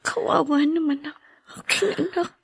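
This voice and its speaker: A Filipino actress playing a fragile, sorrowful young mother, soft and plaintive, in melodramatic radio drama style. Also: high-pitched, moderate pace, gravelly, dry recording, studio quality. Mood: tearful whisper. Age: young adult.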